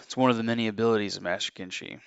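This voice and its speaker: A man's voice: lowish voice, steady tone, racing, creaky, muffled recording, very noisy. A man playing an earnest, haunted former assassin confessing his story in an audio drama. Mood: earnest, intense.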